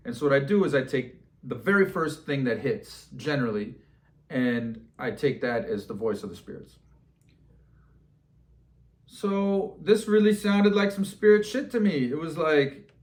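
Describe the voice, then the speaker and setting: A man telling a story in a casual, slangy, animated way, acting out bits of dialogue as he goes. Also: fairly high pitch, a little slow. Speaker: storyteller and host.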